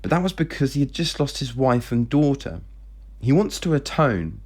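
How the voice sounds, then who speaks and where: A man voicing a stern, evasive older president and father in a story, firm, measured and dismissive. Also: mid-range voice, lively intonation, a little mellow, voice pushed up. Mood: placating.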